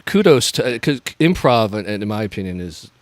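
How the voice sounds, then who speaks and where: A man's voice: on the low side, sing-song, brisk, a crowd in the background. A man hosting a podcast, talking casually with lots of fillers and hesitation.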